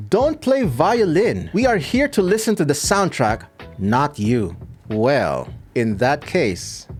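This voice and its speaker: A man from the Philippines whose first language is Tagalog, a YouTube creator answering viewer comments in a dry, measured way. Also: medium pitch. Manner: dry, measured, pauses edited out. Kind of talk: casual commentary to camera.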